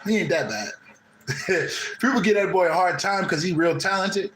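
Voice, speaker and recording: Laughing, slangy high-voiced Midwestern man. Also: unhurried, fairly bright tone, roomy sound, slight background noise, poor audio. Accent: Midwestern American. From American Midwest. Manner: loose, laughing, heavy slang and swearing. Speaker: host of a spiritual channel. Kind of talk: casual chat with a live caller.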